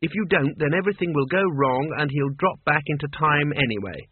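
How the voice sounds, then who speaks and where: A man's voice: on the high side, brisk, husky. A man voicing a huffy but dutiful young woman in an audiobook story. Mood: matter-of-fact. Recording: muffled, echoey, phone-like radio sound.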